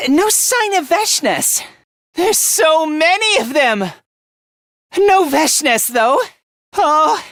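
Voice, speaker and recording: A man voicing a timid, self-deprecating yet excitable teenage boy in a video game, with a hesitant, stammering delivery and theatrical anime-style acting. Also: very high voice, dry recording, clean studio sound, exaggerated voice. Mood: nervous, resolute. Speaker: voice actor.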